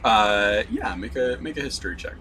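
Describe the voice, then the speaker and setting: A man running a tabletop game as game master, describing scenes slowly with many fillers. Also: lowish voice, lively intonation, bright, forceful tone, a little hiss or hum.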